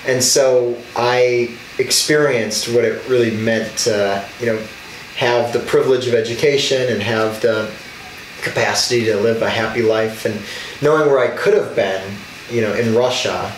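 A man's voice: mid-range voice, moderate pace, echoey room, recorded from a distance, a little hiss or hum, rough recording. A young man, an alderman from Wisconsin, telling his life story earnestly in an interview. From Racine, Wisconsin. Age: young adult. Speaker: alderman guest.

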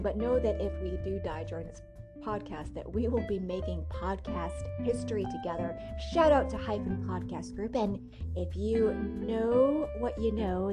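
A woman with a breathy, husky, asthmatic voice, hosting a podcast and reading aloud. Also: medium pitch. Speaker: podcast host and book reader. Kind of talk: casual podcast intro, then audiobook-style reading of a classic novel.

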